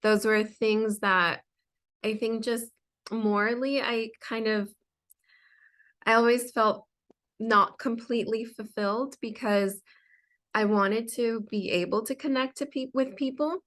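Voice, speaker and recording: A woman's voice: fairly high pitch, steady tone, unhurried, fairly bright tone. Chatty young woman telling her story. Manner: chatty, many fillers and restarts. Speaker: interview guest, designer and singer. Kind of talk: casual interview storytelling.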